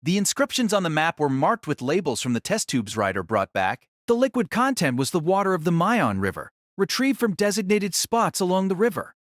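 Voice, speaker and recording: A man's voice: high-pitched, big pitch swings, fairly quick, few pauses, no room sound, studio quality. A man narrating a novel as a scripted audio drama.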